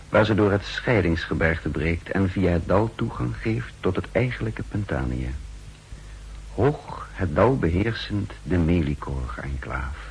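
An actor playing a wary, contemptuous secret agent who narrates his mission in a measured, literary way in a 1960s radio drama. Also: low-pitched, vocal fry, exaggerated voice. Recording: noisy 1960s radio recording.

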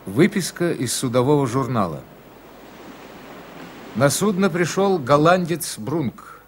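An actor playing a sober narrator who reads a ship's log in a radio drama, official and deliberate. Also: male voice, mid-range voice, lightly acted. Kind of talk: formal document reading in radio drama. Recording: faint noise behind.

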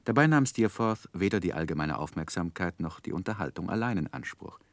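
An actor playing a reflective gentleman narrator recalling his youth in a 1960s radio play, measured and literary. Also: low-pitched, expressive, no room sound.

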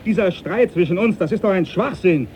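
A hot-tempered, God-fearing captain played by a man in a radio play. His voice sits fairly high yet stays mellow and dark-edged, and he speaks with stubborn, emphatic bluster. A faint noise lies under the recording. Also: brisk, lightly acted. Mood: conciliatory, eager. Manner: blustering, emphatic, short exclamations. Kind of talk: radio drama acting.

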